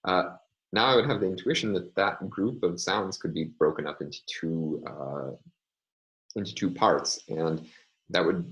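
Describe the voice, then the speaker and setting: A man lecturing in a measured way, often pausing with ums and uhs as he explains. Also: sits low, rough recording. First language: English. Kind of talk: recorded online lecture.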